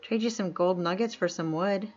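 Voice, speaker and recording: A woman's voice: on the low side, moderate pace, darkish tone. A woman from upstate New York chatting casually while live-streaming a game, laughing often and reading chat aloud. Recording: dull lo-fi mic with faint music.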